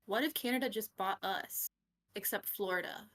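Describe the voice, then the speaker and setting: A woman's voice: mid-range voice, normal speed, fairly bright tone. A young woman co-hosting a casual book club chat, teasing her friends with jokes. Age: young adult. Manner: teasing, joking.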